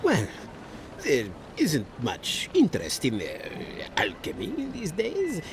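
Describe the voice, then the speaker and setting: A man's voice: on the high side, wildly swooping pitch, slow pace, background noise, full character voice. A man playing an eccentric, flustered and grateful alchemist in a video game, hesitant yet effusive. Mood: sheepish, thankful. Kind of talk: video game character acting.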